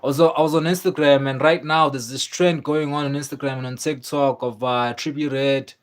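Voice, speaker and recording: A man's voice: medium pitch, fairly even intonation, brisk. Rambling man streaming. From South Africa. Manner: rambling, lots of fillers and restarts. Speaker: livestream host. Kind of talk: casual livestream chat about fights.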